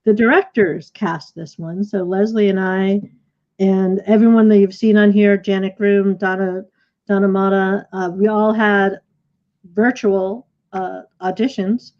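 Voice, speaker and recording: A woman's voice: medium pitch, steady tone, measured pace. A woman hosting a casual panel discussion as a theater director over a video call. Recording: slightly dull lo-fi video call audio.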